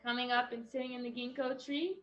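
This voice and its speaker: A woman's voice: fairly high pitch, level tone, a little fast, fairly bright tone, highs cut off, soft background music. A woman giving a step-by-step craft tutorial for children in an encouraging, instructional way. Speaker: craft lesson host.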